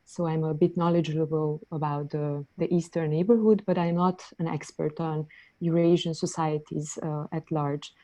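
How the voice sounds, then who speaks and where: Low, mellow-voiced woman researcher presenting. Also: level tone, normal speed. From Hungary. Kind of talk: academic conference presentation. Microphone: computer mic on a video call.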